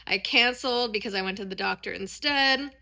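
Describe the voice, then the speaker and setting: Bright-voiced, congested woman in her thirties. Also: on the high side, fairly quick, gravelly. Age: 30s. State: congested, sick with a sore throat. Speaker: dance and performance vlogger. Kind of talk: casual, chatty personal vlog.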